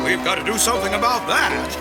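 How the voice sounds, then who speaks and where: An actor playing a gleeful, menacing American raffle showman, theatrically announcing to a crowd in a booming, drawn-out delivery. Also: natural voice. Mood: mocking. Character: gleeful, bigoted male carnival-style showman and raffle host. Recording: loud music and crowd behind.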